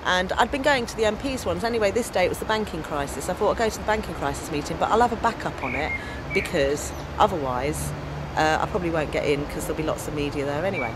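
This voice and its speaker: An English woman with a big, strong voice, talking animatedly about her activism in a casual interview and quoting herself in lively voices. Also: on the low side, quick pace. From England. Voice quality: loud, strong voice. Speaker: activist and storyteller. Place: outdoors by traffic. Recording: noisy outdoor street recording. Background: street traffic.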